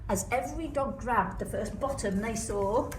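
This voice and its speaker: A woman reading a rhyming children's story aloud like a teacher, with expressive, emphatic delivery. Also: fairly high pitch, moderate pace. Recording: echoey room, distant mic, noisy background.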